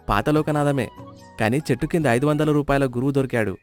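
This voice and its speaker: A man's voice: mid-range voice, moderate pace, faint music behind, close to an everyday voice. A man voicing a stingy middle-aged village man in a storybook tale, whiny and always counting money. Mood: rueful. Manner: whiny, counts every rupee. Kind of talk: storybook voice acting.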